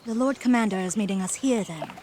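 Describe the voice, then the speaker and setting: A woman's voice: medium pitch, fast talker, darkish tone, slight background noise, natural voice. Woman playing a dry, regal queen. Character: sardonic, worried queen on a quest. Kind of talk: fantasy audio drama acting.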